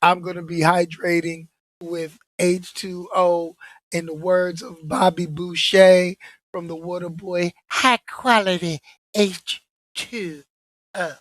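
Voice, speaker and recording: A man hosting his podcast in casual chat, his voice hoarse from being under the weather, joking and repeating himself. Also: sits high, level tone, slow pace, fairly bright tone, no echo. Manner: laid-back, repeats himself, joking asides. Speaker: podcast host.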